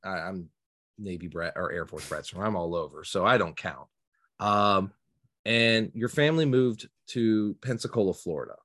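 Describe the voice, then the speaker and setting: A man's voice: deep voice, moderate pace, fairly bright tone. A man hosting a podcast in casual interview chat, with polite, joking banter. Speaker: podcast host.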